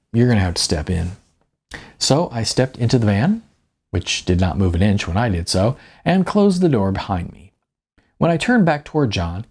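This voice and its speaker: A man's voice: fairly low pitch, vocal fry, a little mellow, slightly rough. A middle-aged man narrating an audiobook in the first person, with a wry storytelling manner. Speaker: author reading own audiobook.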